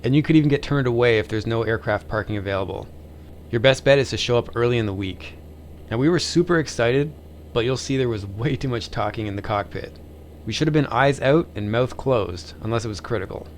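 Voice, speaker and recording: A man's voice: mid-range voice, brisk, a little hiss or hum. A man narrating a flying vlog in an informal voice-over, as the pilot telling his own story.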